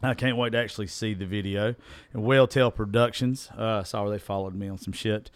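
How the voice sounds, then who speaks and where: A man's voice: fairly low pitch, steady tone, fairly quick, dry recording. A man with a Southern US accent hosts a podcast solo in a casual, rambling, folksy and profane way, his voice strained from recently losing it. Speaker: podcast host.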